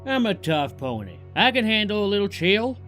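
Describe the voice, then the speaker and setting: A man's voice: high-pitched, sing-song, moderate pace, a bit bright, faint music behind. A man voicing a friendly young male cowboy pony in a fan audio drama, with a polite, folksy drawl.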